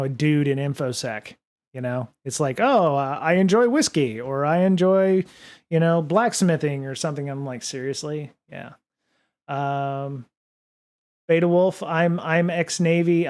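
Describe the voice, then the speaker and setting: A man with a slightly high voice talks loosely to his livestream audience from a home studio desk microphone. He rambles, stacks up fillers and restarts his sentences often, easygoing and unscripted. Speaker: podcast and livestream host. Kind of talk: casual livestream chat reading viewer comments.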